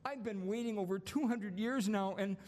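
An actor playing an eager, meek, flustered old apprentice angel in a live radio play. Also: male voice, high-pitched, moderate pace, a little mellow, close to an everyday voice. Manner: polite, flustered, deferential. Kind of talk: stage radio-play acting. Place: school auditorium stage. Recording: reverberant hall with music.

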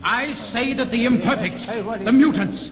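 An actor playing a defiant, bitter young mutant captive, earnest and eloquent in 1950s radio drama style. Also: male voice, very high-pitched, normal speed. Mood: impassioned, defiant. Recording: muffled old radio broadcast, hall reverb.

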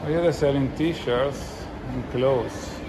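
A man's voice: mid-range voice, slow, over music, poor audio. A man in his forties talks casually to a phone camera held at arm's length as he tours an indoor mall and restaurant, thinking aloud in non-native English phrasing. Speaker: travel vlogger. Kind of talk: casual vlog narration. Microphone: handheld phone camera at arm's length. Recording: loud crowd and mall noise. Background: crowd chatter and mall noise.

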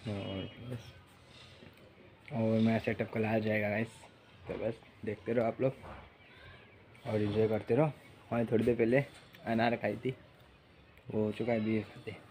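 Young man vlogging, flat-toned, noisy recording. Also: lowish voice, measured pace, pauses often. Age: young adult. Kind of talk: casual vlog chat to camera. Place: at home, moving between rooms, rooftop and yard. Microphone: handheld phone at arm's length. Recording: noisy handheld recording with ambient sound.

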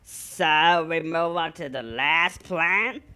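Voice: mid-range voice, slow, sharp tone, clean tone. An actor voicing a skeptical, bossy little sister in a cartoon, with a blunt, demanding manner. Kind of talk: cartoon voice acting.

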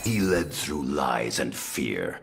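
Grave fighter, video game, gravelly, slow, noisy background. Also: male voice, big pitch swings, far from the mic, full character voice. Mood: stern, defiant. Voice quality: very deep, gravelly.

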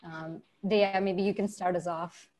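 A woman's voice: fairly low pitch, fairly even intonation, unhurried, darkish tone. A woman moderating a panel discussion over a video call, putting questions to the guests.

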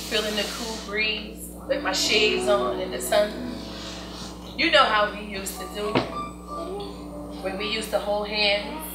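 An American woman playing a gravely ill, guilt-ridden woman in a gospel stage play, pleading earnestly and haltingly. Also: medium pitch, close to an everyday voice. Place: indoor stage before an audience. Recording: echoey stage, distant mic, music.

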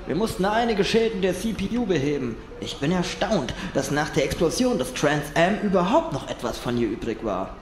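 A man's voice: a little high, very animated, normal speed. A man playing an eager doctor in an audio drama, deferential and explanatory. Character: eager male doctor reporting to his boss. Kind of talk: German fan audio drama acting. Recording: echoey room with background noise.

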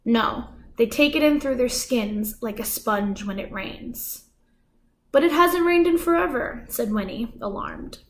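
A young woman calmly reading a children's novel aloud at home, with gentle voices for the dialogue. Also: a little high, measured pace, a little hiss or hum. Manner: calm, gentle storytelling, soft dialogue voices. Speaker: guest reader. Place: living room at home.

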